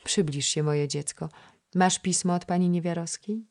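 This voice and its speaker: A woman voicing a middle-aged royal princess who gives calm orders and speaks kindly. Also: lowish voice, normal speed, pitched above the performer's own voice, natural voice. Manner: calm, commanding yet kind.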